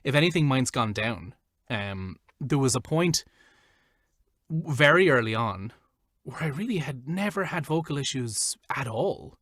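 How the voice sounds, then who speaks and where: A man's voice: mid-range voice, animated, measured pace, a bit bright, no room sound, studio quality. A man, a voiceover artist, chatting casually as an interview guest, loose and filler-heavy.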